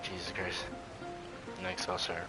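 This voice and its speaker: A man with a low voice talks loosely on a gaming livestream, drifting from thought to thought with slang and swearing. Clear game music plays behind him as he chats. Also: completely flat delivery, unhurried, poor audio. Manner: rambling, profane, slangy. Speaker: live streamer. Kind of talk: casual gaming livestream chat. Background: video game music.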